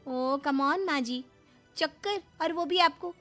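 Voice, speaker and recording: A woman's voice: sits high, takes it slowly, bright, forceful tone, faint music behind, voice pushed up, full character voice. A woman voicing a cheeky, food-loving young married woman in a moral story, playful, wheedling and dramatic. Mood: sassy. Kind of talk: animated Hindi moral story dialogue.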